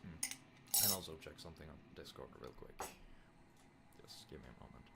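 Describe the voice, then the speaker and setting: A man's voice: very deep voice, animated, normal speed, creaky, heavy background noise. A man chatting offhandedly and mumbling to himself as he practises voice acting on a stream. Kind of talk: casual muttered chat to listeners.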